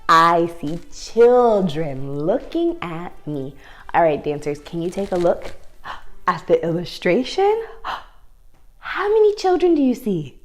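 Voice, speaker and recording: A woman's voice: lowish voice, big pitch swings, slow. A woman leading a story time ballet lesson for young children, giving encouraging instructions and sing-song questions.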